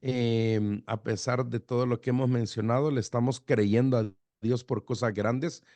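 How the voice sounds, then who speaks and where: A middle-aged man from El Salvador whose Spanish carries a mixed Salvadoran and Guatemalan border accent. His voice sits at an ordinary middle pitch and sounds dry and close. He talks in an easygoing way, with many pauses and repeated words. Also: no room sound. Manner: relaxed, hesitant with fillers and repeated words. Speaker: pastor, guest. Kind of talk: radio interview, conversational.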